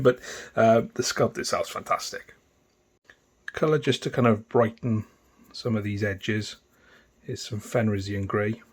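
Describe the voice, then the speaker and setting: A man with a thick Welsh accent talking through a painting tutorial in a relaxed, chatty way, with many fillers and restarts. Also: on the low side. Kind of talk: casual step-by-step tutorial narration.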